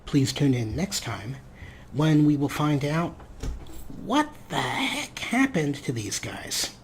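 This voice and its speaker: A man's voice: fairly high pitch, sing-song, a little hiss or hum. A man hosting a let's play, giving casual, wry gameplay commentary as if half talking to himself. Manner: wry, unhurried, talks to himself.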